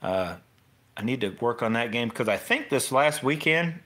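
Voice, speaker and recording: A man's voice: medium pitch, animated, moderate pace, pushed and bright. A middle-aged man with an American accent gives a casual, unscripted fishing tutorial as host, rambling informally and often interrupting himself.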